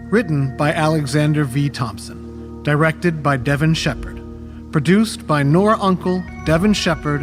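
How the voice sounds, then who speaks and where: A man reading a scripted podcast outro, addressing listeners in a measured, sincere way. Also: on the high side. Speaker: podcast creator reading credits.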